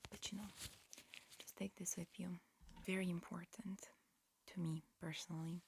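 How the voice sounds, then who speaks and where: A woman performing a whispered ASMR roleplay, mixing breathy whispers with soft speech in a halting, matter-of-fact, instructional manner. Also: on the low side, level tone, a little hiss or hum. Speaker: ASMR performer playing an alien examiner.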